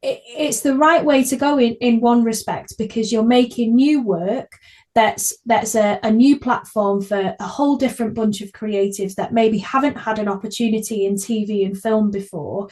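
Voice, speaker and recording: Female podcast host, higher-pitched voice, casual and chatty, openly effusive. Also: fairly even intonation, normal speed, a little mellow.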